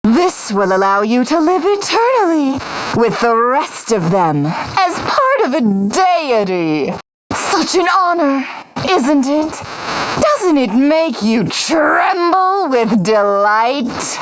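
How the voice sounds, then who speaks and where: A woman's voice: high-pitched, big pitch swings, slow pace, slight background noise, full character voice, poor audio. A woman playing a cruel, megalomaniac villainess in a video game, grandiose and theatrical, with a sadistic streak and a hunger to be God. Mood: sadistic, mocking.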